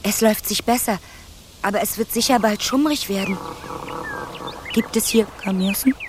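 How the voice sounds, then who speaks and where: An actress playing a brave, earnest fifteen-year-old princess in a fairy-tale radio play, speaking plainly in storybook phrases. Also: female voice, medium pitch, moderate pace, background noise, natural voice. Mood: uneasy. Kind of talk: German radio play acting.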